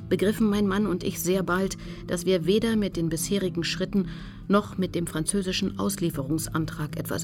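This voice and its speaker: Radio drama role for an actress: resolute female activist, lower register, sober literary telling over music. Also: natural voice. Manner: measured, factual, literary reading. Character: determined woman activist recounting her memoir. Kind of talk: scripted documentary radio drama narration.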